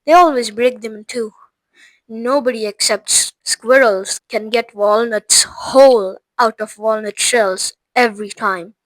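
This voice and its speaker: A woman voicing an eccentric male factory owner who explains things briskly. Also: a little high, no echo, natural voice, low-quality recording. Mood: explaining.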